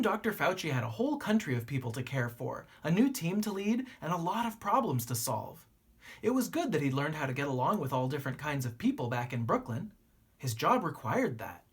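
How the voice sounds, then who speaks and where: Storybook narrator for young listeners: lively, swooping pitch, lightly husky tone, teacherly read-aloud delivery. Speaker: teacher reading a picture book aloud.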